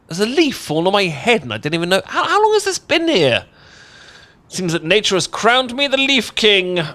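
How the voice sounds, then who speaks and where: A man's voice: a little high, sharp tone, exaggerated voice. A man with an English accent acting a bashful, affectionate young roommate in an intimate ASMR roleplay, chatty and stammering when flustered. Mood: silly, amused. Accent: British, English. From England. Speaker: audio roleplay voice actor.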